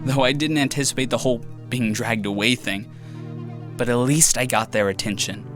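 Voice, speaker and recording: A young man playing a pensive student in an audio drama, talking softly and hesitantly to himself in a reflective monologue. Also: medium pitch, fairly even intonation, moderate pace, natural voice. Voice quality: soft-spoken, reflective, hesitant. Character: brooding young male student, pattern-seeking loner. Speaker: student character. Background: music.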